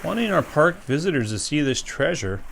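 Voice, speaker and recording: Gravelly man reading a children's story. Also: on the high side, very animated, moderate pace, a little hiss or hum. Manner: gentle, questioning storyteller. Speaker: park ranger.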